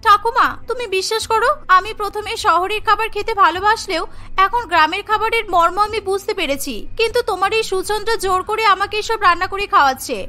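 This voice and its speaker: A woman's voice: very high voice, brisk, bright, forceful tone. A woman voicing a spoiled, sulky young city granddaughter in a cartoon, whiny and insistent. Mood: accusing, pleading. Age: young adult. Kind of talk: cartoon story character voice. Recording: music behind the voice.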